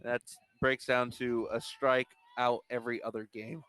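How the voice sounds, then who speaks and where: A man working as color commentator on a softball broadcast, giving casual analysis between pitches. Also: level tone, a little slow, smooth.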